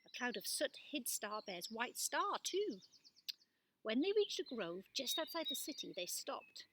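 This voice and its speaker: Animated, bright-voiced woman storyteller. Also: on the high side, measured pace, slight background noise. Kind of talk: children's storybook narration.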